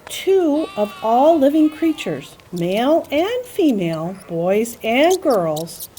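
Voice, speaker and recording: A woman telling a Bible story to young children, explaining in simple phrases with dramatic pauses and emphasis. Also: fairly high pitch, very animated, warm tone, slight background noise, poor audio.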